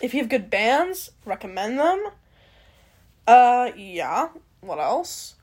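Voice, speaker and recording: A woman's voice: on the high side, sing-song, takes it slowly, darkish tone, slightly rough. A young woman from Canada hosting a podcast alone, rambling casually with many fillers and some swearing. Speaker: podcast host. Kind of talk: casual solo chat.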